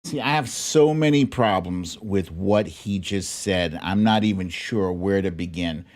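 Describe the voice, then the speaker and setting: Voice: low voice, measured pace, darkish tone. An American host giving unscripted, opinionated political commentary in a blunt, indignant, emphatic manner.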